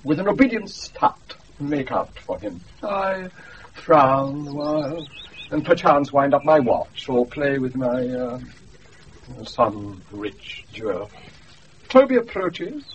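Muffled old radio play, a self-important british steward, very drawn out and haughty. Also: male voice, medium pitch, big pitch swings, slow pace. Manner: pompous, savouring each phrase. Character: pompous, self-infatuated male steward dreaming of nobility. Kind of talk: 1980s British radio Shakespeare acting. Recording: muffled, noisy off-air radio recording.

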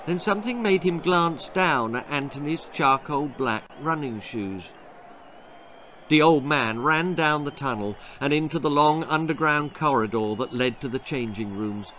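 Older guy narrating a kids tale, theatrical, voice a bit on the high side. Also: a little slow, a little hiss or hum. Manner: expressive, theatrical. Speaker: author reading own story. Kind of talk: dramatic children's story narration. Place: small book-lined room indoors.

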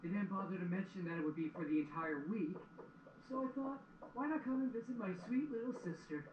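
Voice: medium pitch, a little fast, natural voice. An actor playing a caring, easygoing male pony, an older brother in a fan cartoon audio drama. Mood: cheerful, teasing. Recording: dull, roomy playback with noise.

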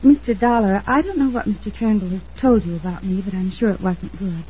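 Mellow, earnest young American woman. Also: medium pitch, a little fast, slightly rough. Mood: polite, guarded. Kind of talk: 1950s radio acting. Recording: dull, noisy old radio recording.

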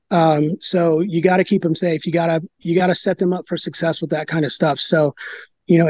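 Halting man on a dull video call. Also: fairly high pitch, no room sound. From United States. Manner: halting, many fillers and restarts. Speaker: brewer, interview guest. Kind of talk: casual interview talk.

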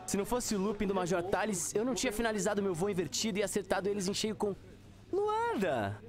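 An actor playing a boastful, excitable young fighter pilot in a Brazilian audio drama. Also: male voice, high-pitched, fast. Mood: excited, boastful. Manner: excitable, bragging.

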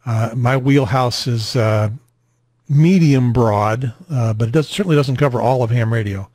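An American man in his sixties hosting a radio net in casual chat, rambling and halting, on a close mic in a small home room. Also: medium pitch, a little mellow, no room sound. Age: 60s. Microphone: close boom studio mic, headset on.

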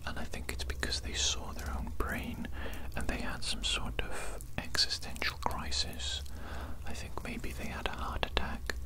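A man whispering an ASMR roleplay as a fussy, callous mad doctor, repeating phrases with deadpan menace, close to the microphone. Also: unhurried, rough recording. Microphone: close-up microphone, facing camera.

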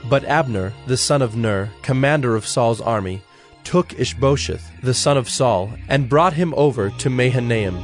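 A man narrating a dramatized audio Bible in a measured, solemn storytelling style. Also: medium pitch. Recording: faint music behind narration.

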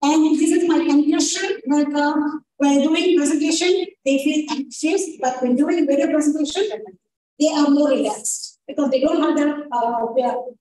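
A woman's voice: high-pitched, fairly even intonation, moderate pace, mellow. A woman lecturer presenting a conference paper in English, her second language, hesitant with many fillers and restarts. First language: not English. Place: large conference ballroom. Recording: echoey ballroom from far off.

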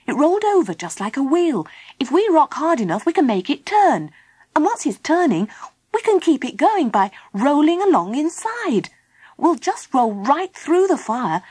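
A woman's voice: sits high, husky, no room sound, voice pushed up, exaggerated voice. A woman voicing a brave, reassuring young boy hero in a children's storybook.

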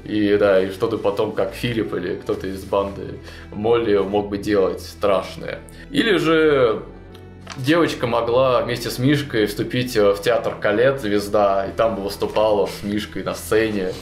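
A man's voice: on the low side, moderate pace. Young man retelling a story in a roomy room. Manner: animated, lots of fillers and restarts. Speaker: storyteller recounting a tabletop game campaign. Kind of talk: casual retelling to a co-host. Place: small indoor studio with chalkboard wall. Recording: roomy echo, faint music behind.

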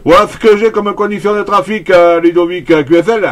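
A high-pitched man speaks as a hobby radio operator. His talk is casual and dotted with small tag words at the ends of phrases. The voice comes over a CB radio link. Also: steady tone, fairly quick, slight background noise. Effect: CB radio transmission. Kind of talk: casual radio contact chat.

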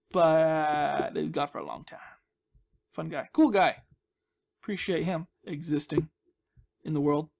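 A man's voice: sits high, gravelly. A man hosting a gaming channel with casual, rambling commentary, playful and goofy, often repeating his words and phrases.

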